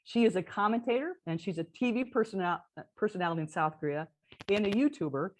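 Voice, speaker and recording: A woman's voice: medium pitch, fast talker. A woman moderating a panel event, introducing a speaker in a casual way, with small asides and restarts.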